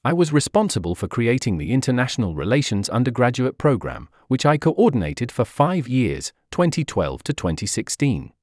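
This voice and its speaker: An older man from the Netherlands giving measured, formal answers as an interviewee. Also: medium pitch, very animated, darkish tone, dry recording, studio quality.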